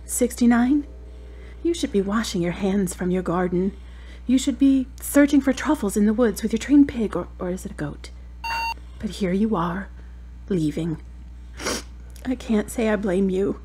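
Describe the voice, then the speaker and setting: An actress playing a grieving adult daughter in an audio drama, talking tenderly and plaintively. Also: female voice, medium pitch, moderate pace, husky, lightly acted. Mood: tender, wistful. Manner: tender, plaintive, talking softly to a loved one. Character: grieving adult daughter at her mother's deathbed.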